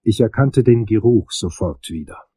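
A hard-boiled male detective narrating a noir crime drama. His voice is lowish and dark, moving theatrically up and down. The delivery is deliberate and suspense-laden. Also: lively intonation, breathy edge, no room sound, studio quality. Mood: suspenseful. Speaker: narrator and lead actor.

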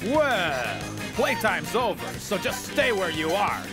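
A man's voice: sits high, very animated, slow, exaggerated voice. A man playing an impatient, contemptuous male cartoon character with a brash, sneering delivery in an anime dub. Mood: menacing. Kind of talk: animated series dub acting. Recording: loud music behind the voice.

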